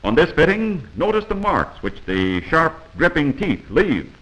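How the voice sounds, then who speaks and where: A man's voice: fairly high pitch, big pitch swings, unhurried, a bit bright, rough recording. A man narrating a 1940s instructional film from a script, in an instructive, emphatic manner on a vintage film soundtrack.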